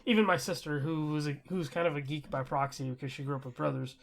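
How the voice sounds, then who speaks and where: A young adult man co-hosting a casual chat in an enthusiastic, excitable way. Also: on the high side, fast, vocal fry. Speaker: podcast co-host.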